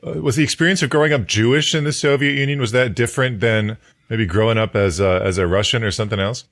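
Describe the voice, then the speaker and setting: A man's voice: medium pitch, quick pace, a bit bright. A man hosting a podcast, introducing his guest and asking interview questions. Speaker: podcast host.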